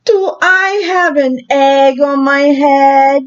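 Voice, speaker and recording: A woman's voice: high voice, slow. A woman reading a children's picture book, voicing an exasperated, alarmed elephant in an exaggerated, fretful way. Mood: worried. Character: exasperated, alarmed elephant with birds nesting on his head. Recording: dull lo-fi recording.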